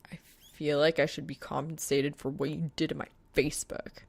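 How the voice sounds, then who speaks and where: A woman voicing a grumpy, awkward young man with a deadpan, hesitant delivery in an audiobook. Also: low voice, normal speed, creaky, breathy edge, dry recording, heavily acted. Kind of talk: audiobook character acting.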